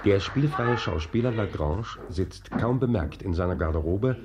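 A man's voice: on the low side, expressive, normal speed, highs cut off, heavy background noise. A man narrating a radio drama from a script, setting the scene.

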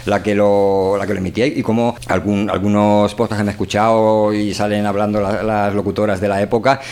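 A man with a European Spanish accent chatting colloquially as a podcast host, halting and repeating words as he searches for phrases. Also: on the low side, little pitch movement, darkish tone, smooth. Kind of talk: casual chat.

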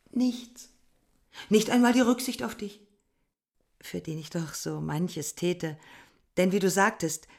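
Radio drama role: stern, proud young village woman, low voice, curt delivery sinking toward whispers. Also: a little mellow, full character voice. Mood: cold, proud. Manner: curt, grave, dropping into whispers. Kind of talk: literary radio play dialogue.

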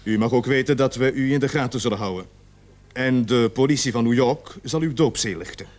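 An actor playing a stern police sergeant in a radio play, speaking formally and with measured authority. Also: male voice, mid-range voice, darkish tone, close to an everyday voice. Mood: warning. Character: stern police sergeant leading a murder investigation.

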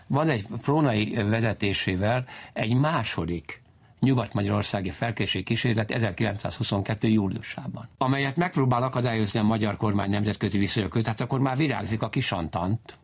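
A man giving an unscripted historical explanation as an interviewed historian, halting and digressive, often correcting himself. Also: lowish voice, gravelly, husky.

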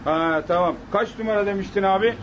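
An actor playing a folksy bus attendant who chuckles and calls passengers brother in a radio comedy. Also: male voice, high-pitched, fast talker, natural voice. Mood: helpful. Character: chatty young bus attendant, easygoing. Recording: muffled old radio recording with hiss.

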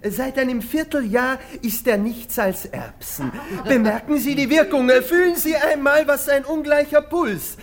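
Pompous doctor, high-pitched, slow drawn-out lecturing, condescending, theatrical, manic, radio drama. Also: male voice. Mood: excited, condescending.

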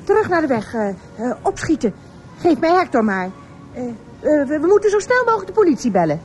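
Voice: sits high, very animated, brisk, faint music behind. An actor playing an anxious, flustered, stammering mother in a radio drama. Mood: shocked, flustered. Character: anxious mother walking with child and dog.

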